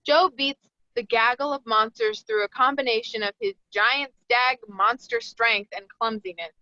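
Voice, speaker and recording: A woman's voice: a little high, moderate pace, pushed and bright, no echo. A woman narrator reading screenplay stage directions aloud at a table read. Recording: dull, dry lo-fi audio.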